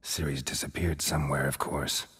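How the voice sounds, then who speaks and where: An actor playing a dry, wry, battle-hardened monster hunter in a video game, deadpan and teasing. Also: male voice, rumbling low, moderate pace. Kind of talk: video game cutscene acting. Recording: clear background noise.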